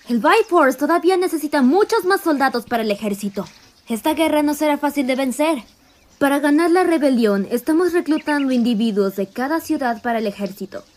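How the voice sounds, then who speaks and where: A woman voicing a haughty, harsh female animal army commander in an animated fan dub, speaking with contempt. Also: high-pitched, a little fast. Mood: commanding.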